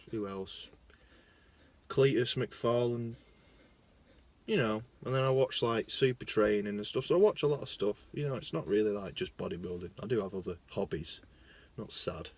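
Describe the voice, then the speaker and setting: A young man with a Lancashire accent, rambling casually into his phone in a parked car, self-mocking, jumping between loud bursts and mumbled asides. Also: lowish voice. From Lancashire, England. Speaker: vlogger.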